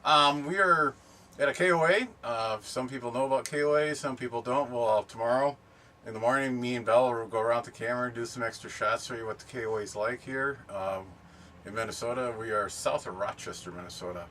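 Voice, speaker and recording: A middle-aged man chatting casually and unscripted for a vlog, explaining things in a rambling, halting way. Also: medium pitch, pushed and bright. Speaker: camping and ham radio vlogger. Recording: distant mic, background noise.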